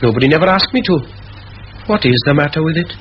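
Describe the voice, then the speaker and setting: An actor playing a wary, unschooled teenage miner in a vintage radio play, speaking in terse, ungrammatical phrases. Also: male voice, a little high, animated, quick pace, natural voice. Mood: blunt, guarded. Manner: terse, plain-spoken, ungrammatical. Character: unschooled, blunt, wary teenage Welsh coal-miner boy. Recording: muffled old radio recording, faint hiss.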